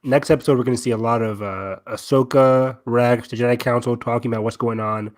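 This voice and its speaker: A man with a middle-range voice talks casually as a podcast co-host. His delivery is animated and jokey, like loose conversation among fans. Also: mid-range voice, brisk. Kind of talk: casual fan podcast chat.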